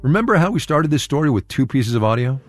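A man's voice: mid-range voice, big pitch swings, quick pace, over music. A man working as a radio reporter, reading scripted narration in a conversational storytelling way with short, punchy phrases.

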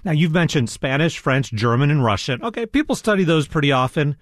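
A man's voice: on the high side, very animated, fairly quick, no echo, studio quality. A man hosting an interview, asking questions in a friendly, curious and self-deprecating way. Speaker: interview host. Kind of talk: conversational interview.

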